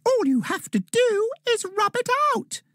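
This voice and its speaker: A squeaky excitable little elf. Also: male voice, unhurried, no room sound, studio quality, heavily acted. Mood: cheerful. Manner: urgent, high squeaky calls.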